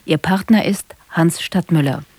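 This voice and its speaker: A woman reading a formal, scripted radio announcement in a measured manner from a broadcast studio. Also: on the low side, darkish tone, slightly rough, dry recording.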